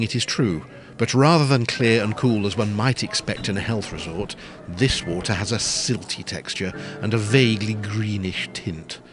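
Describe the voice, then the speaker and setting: A man narrating a comic story in a wry, scripted style. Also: on the low side.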